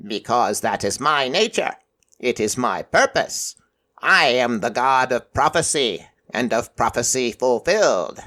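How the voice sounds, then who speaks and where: A man voicing an ancient, stern god of prophecy in raven form, croaking and solemn, in an audio story. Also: medium pitch, lively intonation, bright, forceful tone. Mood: grave, declarative. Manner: slow, solemn, formal delivery. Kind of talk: audio fiction character voice.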